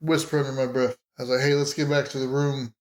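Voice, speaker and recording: A man's voice: medium pitch, little pitch movement, fast talker. A man playing a tabletop role-playing game, improvising casually in and out of character. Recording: roomy, echoey home studio.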